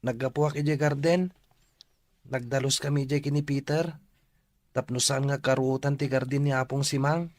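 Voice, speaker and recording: A man's voice: medium pitch, steady tone, normal speed, dry recording, close to an everyday voice. A man playing an earnest, respectful young boy in an Ilocano radio drama. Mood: reassuring, earnest.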